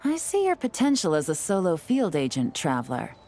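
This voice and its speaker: A low, composed voice of a female secret agent, calm and measured with quiet confidence. She gives plans without hurry. Faint game music sits underneath. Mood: cool. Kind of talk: video game voice acting.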